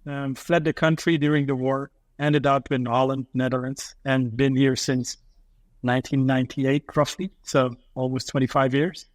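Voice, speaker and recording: A man's voice: medium pitch, little pitch movement, moderate pace, dry recording. A man from Afghanistan, a guest on a podcast, talking casually and acting out investors' thinking in quoted asides.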